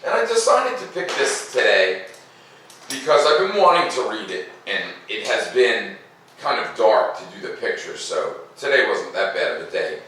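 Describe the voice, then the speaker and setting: A middle-aged American man, a teacher speaking to young children with animated, exaggerated emphasis. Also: on the low side, normal speed, slight background noise. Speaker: teacher reading stories aloud to young pupils. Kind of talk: casual chat to children, then storybook read-aloud. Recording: echoey room, a bit far from mic.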